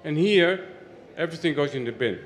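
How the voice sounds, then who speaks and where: A man's voice: on the high side, lively intonation, brisk. Chatty dutch man speaking from the floor. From Netherlands. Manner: chatty, loose phrasing. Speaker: audience member. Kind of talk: spontaneous remarks from the floor. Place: large indoor event hall. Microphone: handheld mic.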